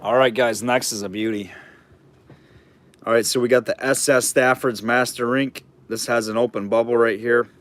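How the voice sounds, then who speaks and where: A man running a live online auction, calling bids and chatting loosely about the items, his throat getting hoarse. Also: mid-range voice, steady tone, moderate pace, a bit bright. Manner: chatty, rambling asides between bid calls.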